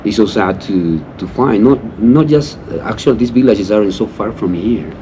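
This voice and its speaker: Deep-voiced Peruvian man over background noise. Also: moderate pace, warm tone. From Cusco, Peru. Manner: repeats "you know" often. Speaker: local partner in conversation. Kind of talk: casual conversational explaining. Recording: clear background ambient noise.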